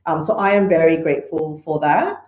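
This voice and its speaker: A woman's voice: low-pitched, brisk, darkish tone. A middle-aged woman from Malaysia and Hong Kong, a podcast guest speaking thoughtfully with frequent ums and uhs. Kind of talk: conversational interview. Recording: echoey room.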